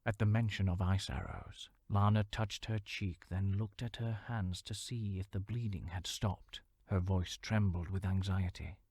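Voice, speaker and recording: A man narrating a fantasy novel in a measured storytelling manner, sometimes dropping to a hushed near-whisper. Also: low voice, steady tone, slightly rough, dry recording, studio quality. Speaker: audiobook narrator.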